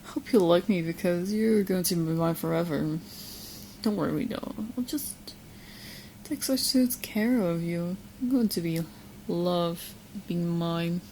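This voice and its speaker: A woman acting as a lovesick yandere witch with a fairly low, young voice. She talks sweetly and playfully to her beloved in an ASMR audio roleplay, possessive underneath the cuteness. Noticeable background noise sits behind her. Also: a little slow, warm tone, rough recording. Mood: smug, possessive. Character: sweet, romantically obsessed yandere witch girlfriend. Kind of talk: ASMR roleplay audio drama, spoken to the listener.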